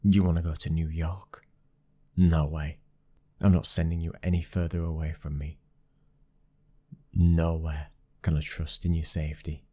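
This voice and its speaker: Young British mafia heir, played by a man, commanding yet loving. Very low, breathy-husky, restrained and level, for intimate ASMR roleplay. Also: flat delivery, unhurried, long pauses, mellow. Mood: stern, protective. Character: commanding, protective young male mafia boss's son, loving husband.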